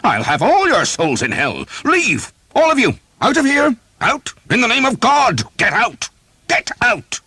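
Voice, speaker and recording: A man voicing a small, hot-tempered village priest who shouts fierce commands. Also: fairly low pitch, big pitch swings, normal speed, few pauses, slightly rough, no echo, voice pushed up, exaggerated voice. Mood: furious.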